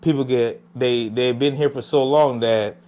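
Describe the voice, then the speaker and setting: Podcast guest: young man from Jamaica, mid-pitched, rambling and reflective, with many fillers. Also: steady tone, a little slow, a little mellow, clear, resonant tone. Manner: reflective, rambling, lots of "you know what I'm saying". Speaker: podcast guest, music artist. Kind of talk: casual interview talk.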